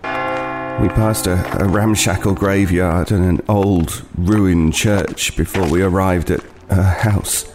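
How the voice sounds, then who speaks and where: A man's voice: low-pitched, steady tone, over music. An actor playing a weary, wary homeless man, hesitantly telling an unsettling tale in an audio play. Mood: uneasy, ominous. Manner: hesitant, halting, haunted, with repeated words. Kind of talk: dramatic first-person storytelling in an audio play.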